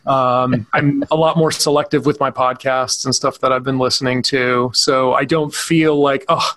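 A man's voice: medium pitch, fairly even intonation, normal speed, a bit bright. A man co-hosting a podcast who teaches yoga, rambling casually with dry jokes. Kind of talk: casual chat.

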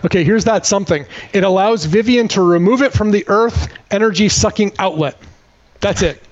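A man's voice: sits high, moderate pace, highs cut off. A man giving a comedic movie plot recap as a podcast host, with sarcastic, exasperated storytelling.

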